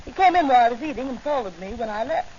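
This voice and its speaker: An earnest young woman reporter acted by a woman in a 1940s radio serial. Her voice is high and plucky. The recording is muffled with faint noise. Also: animated, racing, a little mellow, close to an everyday voice.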